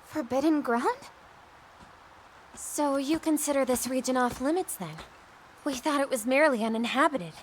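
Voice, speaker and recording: A woman's voice: high voice, moderate pace, pauses often, slight background noise, close to an everyday voice, poor audio. A young woman playing a poised, courteous monster rider in a game cutscene, polite and articulate. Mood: polite, composed.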